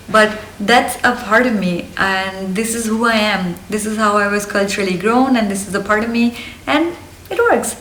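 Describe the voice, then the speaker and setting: A woman's voice: mid-range voice, a bit bright, a little hiss or hum. Woman, 30s, Indian accent, Hindi native. Chirpy and earnest vlogger, recorded in a live room. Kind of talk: personal get-to-know-me vlog. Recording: roomy, slightly distant mic.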